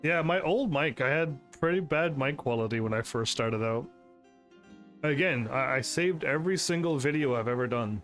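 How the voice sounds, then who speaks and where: A male gaming streamer in casual commentary, projecting his voice higher and louder to sound full of energy. His pitch sits in the middle for a man, and he chats loosely, restarting sentences and laughing between thoughts. Soft game music plays faintly underneath. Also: moderate pace. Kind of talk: casual let's-play commentary.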